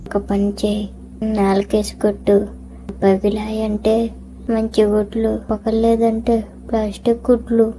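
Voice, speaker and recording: Casting: woman as teasing, sly talking fruit for a moral tale; mellow, flat delivery, faintly noisy recording. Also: mid-range voice, unhurried, natural voice. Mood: sly, mocking. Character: mocking, tricky talking fruit and vegetable. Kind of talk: animated moral story dialogue.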